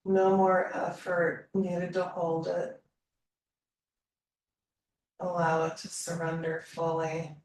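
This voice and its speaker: A woman leading a slow guided meditation in a calm, soothing manner, leaving long pauses between phrases. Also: fairly low pitch. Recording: roomy echo, distant mic, faint noise.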